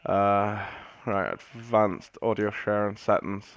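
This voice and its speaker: Low-voiced man streaming a game. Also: steady tone, takes it slowly, no room sound, lo-fi sound. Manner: chatty, rambling, matey asides. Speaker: gaming streamer. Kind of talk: casual live game commentary. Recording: loud game music and gunfire behind. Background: loud game music, gunfire.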